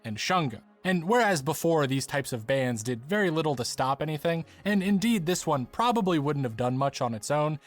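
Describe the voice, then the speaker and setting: A man reading scripted documentary narration for a video essay. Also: a little high.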